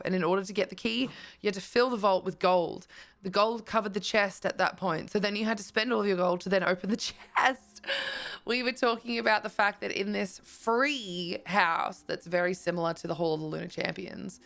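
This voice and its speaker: A woman streaming live, her voice croaky, chatting casually with viewers and thanking them as she plays. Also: mid-range voice, fairly bright tone. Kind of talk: casual live-stream chat while gaming.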